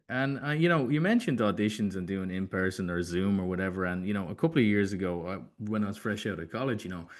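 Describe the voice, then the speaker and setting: Casting: young adult Irish man, lowish pitch, informal podcast host, conversational and a little hesitant. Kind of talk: casual interview chat.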